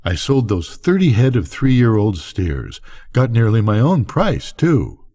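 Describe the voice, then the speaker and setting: An easygoing rancher husband from a bedtime story, played by an older man reading aloud. He talks in a folksy, teasing way with very lively swings of pitch. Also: unhurried. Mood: pleased.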